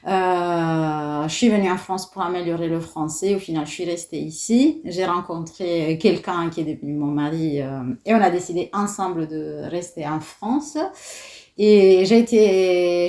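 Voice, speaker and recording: A woman in her thirties speaks French with an Italian accent, her voice pitched low for a woman. She talks as a guest, pausing often with fillers as she searches for words. The room around her rings with noticeable echo. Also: warm tone. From Italy. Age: 30s. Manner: hesitant, many fillers. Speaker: guest, doula. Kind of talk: casual interview talk.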